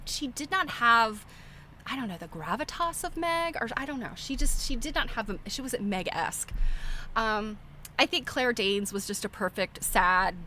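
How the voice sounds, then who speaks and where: Middle-aged woman, sharp bright tone, chatty livestream host, informal and opinionated, faint noise behind the voice. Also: mid-range voice. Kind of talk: casual rambling film commentary. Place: live internet stream.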